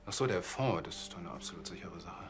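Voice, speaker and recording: A man's voice: deep voice, animated, fast talker. A man playing a choir treasurer who answers police questions politely and keeps reassuring them.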